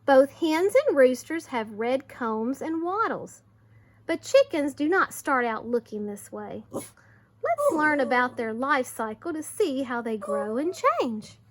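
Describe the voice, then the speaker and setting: A woman teacher reading aloud to young children, expressive, with simple explanations along the way. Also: high-pitched, slow pace.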